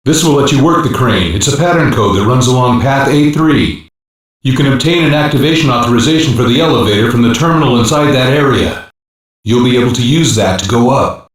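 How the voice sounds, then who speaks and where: A man's voice: medium pitch, lively intonation, fairly quick, lightly acted. Man voicing a matter of fact police hacker guiding a team in a sci-fi game, very echoey, bit far away. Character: practical male cyber-police mission support hacker. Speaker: mission support operative. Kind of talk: English animated sci-fi game voice acting. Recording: very echoey, distant-sounding game audio.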